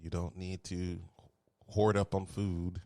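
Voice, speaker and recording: A man from Houston hosts a loose, casual podcast, laid-back and quick to laugh at his own jokes. His voice sits on the low side. It is recorded close and dry, with no room sound. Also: level tone, warm tone, no room sound. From Houston, Texas. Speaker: podcast host. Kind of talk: casual chat.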